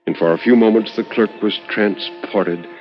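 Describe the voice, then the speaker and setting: A man reading a literary story as a 1950s radio narrator, measured and expressive, lingering on phrases with dramatic pauses. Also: lowish voice, over loud music. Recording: dull vintage 1950s radio recording.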